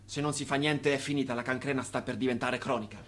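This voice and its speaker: An actor playing an earnest, blunt doctor in a radio play. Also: male voice, mid-range voice, level tone, quick pace, fairly bright tone, close to an everyday voice. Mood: grave.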